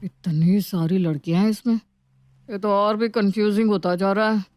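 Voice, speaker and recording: A woman's voice: mid-range voice, steady tone, normal speed, darkish tone, no echo, natural voice. Young male office worker, grumbly yet smitten, slangy storybook dialogue, played by a woman. Dry, close sound. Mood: curious, smitten. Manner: casual Hinglish slang, grumbling or musing to himself.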